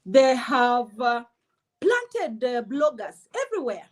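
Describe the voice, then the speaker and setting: A woman with a high, bright voice speaks as an interview guest. She is blunt and emphatic, driving her political points home without softening them. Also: very animated, slow pace. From Kenya.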